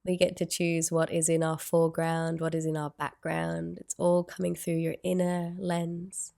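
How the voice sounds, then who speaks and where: A woman leading a guided meditation in a soothing, unhurried way, with gentle phrasing and long pauses. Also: deep voice, monotone, vocal fry.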